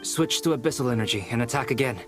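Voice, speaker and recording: A man playing a calm, erudite young alchemist in a video game, speaking in a composed, precise, scholarly manner. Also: medium pitch, fast talker, music in the background, close to an everyday voice. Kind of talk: scripted video game voice acting.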